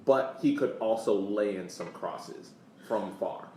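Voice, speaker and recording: A man co-hosting a sports podcast with casual commentary, deliberately repeating words for emphasis. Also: on the low side, darkish tone. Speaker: podcast co-host. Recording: echoey room, distant mic, faint noise.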